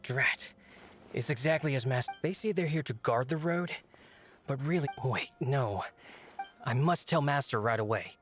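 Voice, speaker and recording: A man's voice: medium pitch, a little slow, lightly acted. An actor playing an earnest, anxious male retainer loyal to his master in a video game. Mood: alarmed, urgent. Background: music.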